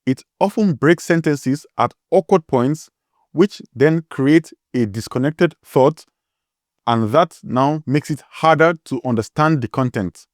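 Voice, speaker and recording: A man's voice: fairly high pitch, a little slow, no room sound, clean studio sound. A man with a strong Nigerian accent hosting a tutorial, explaining step by step and spelling words out letter by letter. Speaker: tutorial host.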